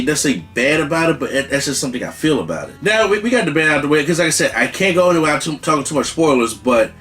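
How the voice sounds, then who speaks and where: A man hosts a movie review in a loose, rambling way. He leans on slang and swears now and then. His voice sits a little high, with soft guitar music playing under it. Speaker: movie reviewer, channel host. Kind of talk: casual spoken movie review.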